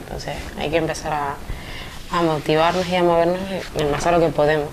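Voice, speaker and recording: A woman's voice: low-pitched, little pitch movement, brisk. A woman from Venezuela, chatting casually in Spanish as a dance teacher being interviewed. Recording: clear background noise.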